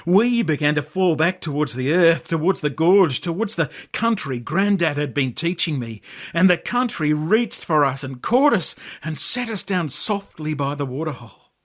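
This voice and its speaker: An Australian man reading a story as its author, with expressive, dramatic delivery and deliberate pauses. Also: on the high side. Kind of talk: dramatic storytelling, scripted narration.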